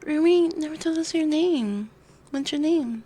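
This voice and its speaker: A woman voicing an excitable, affectionate and playful young woman in an ASMR roleplay, gushing and teasing. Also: high-pitched, measured pace, slight background noise, pitched above the performer's own voice. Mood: curious.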